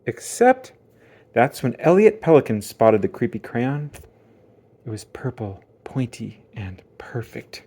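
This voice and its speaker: A man reads a children's storybook aloud in a medium-pitched voice. His intonation is very animated, rising and dropping widely. He uses theatrical emphasis and suspenseful pauses throughout. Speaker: children's book read-aloud narrator. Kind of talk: dramatic scripted storytelling.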